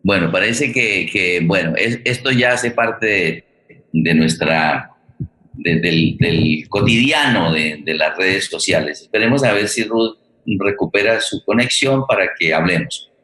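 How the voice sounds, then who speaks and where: A man hosts a live online forum in a low, forward, bright voice. He talks conversationally and haltingly, repeating phrases as he goes. The sound is echoey, like a reflective room heard over a stream. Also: animated. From Colombia. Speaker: forum host.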